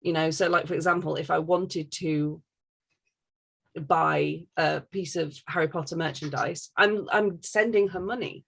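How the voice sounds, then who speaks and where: A woman's voice: sits low, steady tone, measured pace, creaky, breathy edge. A woman talking casually and candidly, giving her opinions emphatically and swearing freely.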